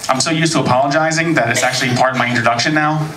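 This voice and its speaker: An American man in his thirties performing a stand-up comedy set on a club stage, with a dry, deadpan delivery. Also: mid-range voice, rapid-fire, heavy background noise. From United States. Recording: echoey club recording from far off. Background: audience laughter.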